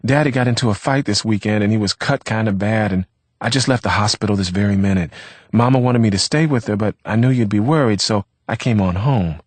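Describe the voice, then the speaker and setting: A man's voice: lowish voice, brisk, dry recording, voice pushed up, close to an everyday voice. A man voicing a young woman lover who coaxes and pleads defensively, in a wheedling manner. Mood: worried.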